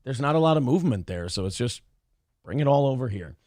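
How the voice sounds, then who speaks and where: A man hosting a radio show, reading out chat messages in a hoarse, raspy voice, rambling with restarts and frequent swearing. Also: mid-range voice, animated, quick pace, a little mellow, no echo, studio quality. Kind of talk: casual chat.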